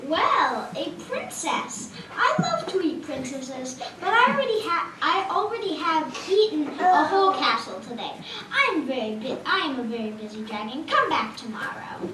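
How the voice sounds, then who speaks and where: A woman's voice: high-pitched, sing-song, moderate pace, few pauses. A woman voicing a boastful, busy dragon in a theatrical puppet show manner. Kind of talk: children's puppet show performance. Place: live puppet show in a roomy hall, far mic. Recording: distant, echoey, noisy live stage recording.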